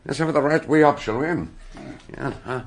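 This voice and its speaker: An actor playing a shrewd, eccentric English detective doctor in a radio drama, speaking deliberately and theatrically in a gravelly, husky voice. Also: male voice, a little high, close to an everyday voice. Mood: wry, musing. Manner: deliberate, hesitant questioning, theatrical. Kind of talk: radio drama acting and narration.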